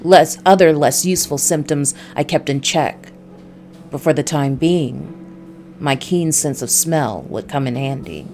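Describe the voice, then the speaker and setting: Weary noir private eye, ex-cop, read by a woman: low, dry, brooding narration over light music. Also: a little slow, a little mellow. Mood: matter-of-fact.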